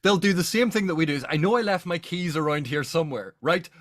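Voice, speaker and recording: A man's voice: a little high, quick pace, a bit bright, dry recording, studio quality. A man from Northern Ireland with a Northern Irish accent, hosting a book discussion in an explanatory, emphatic way. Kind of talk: animated literary discussion.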